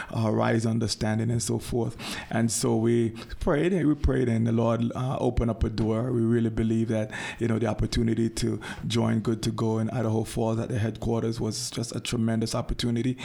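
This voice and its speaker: A man's voice: medium pitch, vocal fry, warm tone. A man from Jamaica, a guest on a radio show, talking earnestly and often repeating words as he searches for them. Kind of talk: casual interview talk. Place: radio studio.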